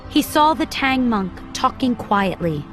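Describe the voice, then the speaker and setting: A woman's voice: fairly high pitch, sing-song, unhurried, a bit bright, faint music behind. A woman narrator reading a scripted children's story for English learners.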